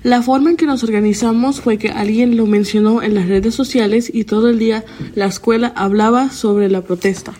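A woman's voice: a little high, fairly even intonation, normal speed, warm tone, slight background noise. Teenage girl reading an earnest statement. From Chicago. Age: teens. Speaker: student protester.

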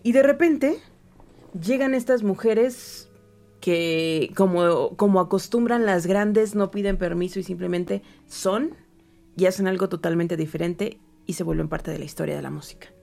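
A woman, co-hosting a casual music podcast and telling the story with lively, animated talk. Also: fairly low pitch, moderate pace, gravelly. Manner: animated, storytelling with many "o sea" fillers. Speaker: podcast co-host.

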